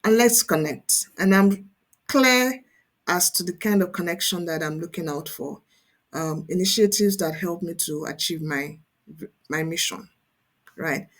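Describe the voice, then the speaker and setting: A woman's voice: fairly low pitch, unhurried. A woman presenting an online tutorial as a coach, explaining conversationally and emphatically, often checking in with the listener. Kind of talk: instructional screen-share tutorial, conversational.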